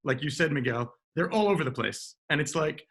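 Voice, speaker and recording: A man, a dentist, talking casually on a panel with a scratchy voice, often clearing his throat as he agrees and explains. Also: on the high side, expressive, a little fast, a bit bright.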